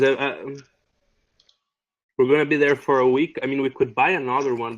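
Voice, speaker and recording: A man playing a tabletop game, chatting casually and stammering as he plans. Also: mid-range voice. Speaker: player. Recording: muffled home recording.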